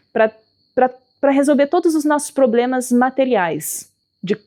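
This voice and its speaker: A woman with a somewhat high voice gives an earnest spiritual talk, explaining step by step and leaning on her key words. She speaks as someone whose first language is not Portuguese. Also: warm tone. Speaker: spiritual teacher. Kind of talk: spoken talk on spirituality and politics.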